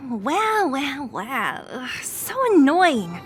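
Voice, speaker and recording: Game casting: scrappy young street brawler girl, brash and outspoken, unhurried pacing, music behind her lines. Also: high-pitched, exaggerated voice. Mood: scornful. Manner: brash, casual, expressive. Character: feisty, blunt young female street fighter from the slums. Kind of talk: video game voice acting.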